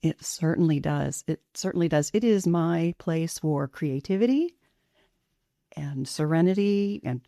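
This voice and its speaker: A woman hosting a podcast, introducing her guest in a gentle, reflective way and then chatting with her. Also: low voice, no room sound, clean studio sound. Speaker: podcast host.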